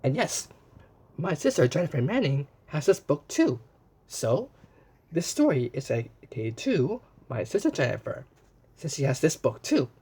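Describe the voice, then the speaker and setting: A man reading a children's picture book aloud in an animated way, pausing to point things out and exclaim. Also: on the high side, slightly rough. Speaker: storyteller.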